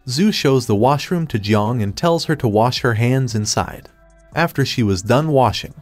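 A man giving a scripted third-person recap of a comic story in a steady retelling manner. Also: medium pitch. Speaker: recap narrator. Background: faint background music.

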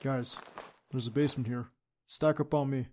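A man's voice: mid-range voice, fairly even intonation, moderate pace, a little mellow, a little hiss or hum, close to an everyday voice. A man playing a young secret agent who leads his team and is a devoted boyfriend, in an audio roleplay drama. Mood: controlled, focused. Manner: clipped military radio commands.

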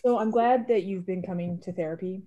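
A woman's voice: medium pitch, fairly quick, mellow, muffled recording. A woman improvising a comedy scene in plain conversational speech over a video call. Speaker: improv performer.

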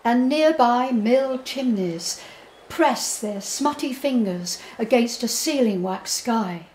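An older woman giving a dramatic, theatrical recitation of poetry in a measured way. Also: fairly high pitch, animated, a little mellow, a little hiss or hum. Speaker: poet performing her own poem. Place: indoor room before a folding screen. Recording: roomy, echoing indoor sound.

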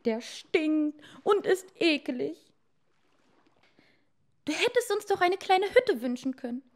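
Storybook fisherman's wife, high-pitched, insistent, forever complaining, acted by a female reader. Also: big pitch swings, slow, exaggerated voice. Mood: nagging. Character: fisherman's discontented, demanding, nagging wife.

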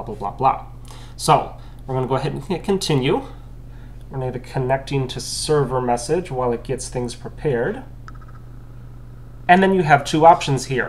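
Young man, tech walkthrough host, explanatory, mid-pitched, camera mic, faint room noise. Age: young adult. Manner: matter-of-fact, explanatory. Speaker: phone unlocking video host.

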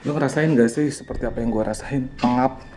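A man's voice: mid-range voice, fairly quick, dark tone, faint music behind. A young man with a Jakarta-area Indonesian accent narrates a casual exploration vlog in a slangy, exclamatory way, dropping in English interjections. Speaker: vlogger exploring abandoned places. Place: abandoned villa at night, moving through rooms. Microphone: handheld camera microphone. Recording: clearly noisy handheld outdoor-indoor ambience.

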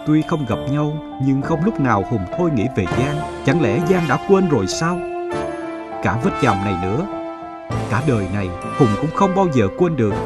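A man's voice: medium pitch, sing-song, moderate pace, natural voice. An actor playing a devoted, successful young man in a cartoon drama, speaking earnestly. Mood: earnest, tender. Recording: prominent music under the voice.

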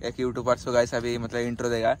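A man's voice: medium pitch, monotone, fast. A young man narrating a casual motovlog while riding outdoors. He talks in a chatty way, often repeating "guys" and "matlab" as fillers. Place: outdoors on dirt tracks beside a pond. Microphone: phone or action camera on the bike. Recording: wind and motorcycle engine noise.

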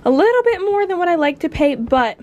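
A woman's voice: very high-pitched, sing-song, a little fast, darkish tone, lo-fi sound. A young American woman chatting informally and casually, as a vlogger talking to her viewers. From United States. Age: young adult. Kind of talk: casual vlog chat.

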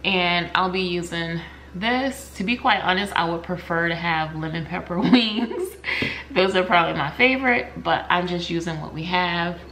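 A woman's voice: on the low side, normal speed, pushed and bright. A woman in her forties talking viewers through her meal prep in a home kitchen, casual and step-by-step, with a laugh now and then. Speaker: cooking vlogger. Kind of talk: casual cook-along narration. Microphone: camera mic, close to mid distance. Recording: noisy home kitchen recording.

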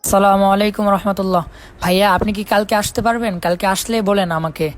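A man's voice: high voice, fairly even intonation, quick pace. A man with a Bangladeshi accent talking casually in an insistent, persuading way, often repeating his appeals. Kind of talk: casual conversation.

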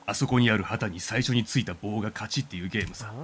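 A man voicing a smug, boastful young male storybook creature in a video game, with a self-important, measured delivery. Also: on the low side, fairly bright tone, a little hiss or hum, pitched below the performer's own voice, lightly acted.

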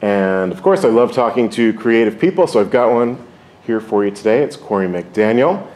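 A man's voice: on the low side, animated, moderate pace, a little mellow. A man hosting an interview, asking upbeat, encouraging questions.

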